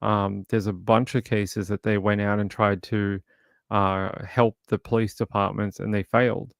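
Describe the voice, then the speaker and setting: Gravelly-voiced man, low and dry. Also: little pitch movement, no room sound. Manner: halting, many fillers and restarts. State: crackly voice. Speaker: true crime livestream host. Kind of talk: casual livestream commentary, reading chat.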